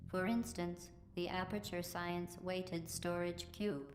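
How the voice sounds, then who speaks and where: Game AI overseer: synthetic, echoey, measured, falsely courteous, music underneath. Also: female voice, lowish voice, little pitch movement. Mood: coldly polite. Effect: synthetic robotic voice processing. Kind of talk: scripted video game announcements.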